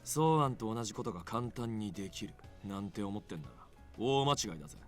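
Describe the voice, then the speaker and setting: An actor playing a grumpy, hot-tempered young man with rough, blunt speech in a visual novel. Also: fairly low pitch, lively intonation, very fast, soft background music, close to an everyday voice. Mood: grudging. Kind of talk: Japanese visual novel voice acting.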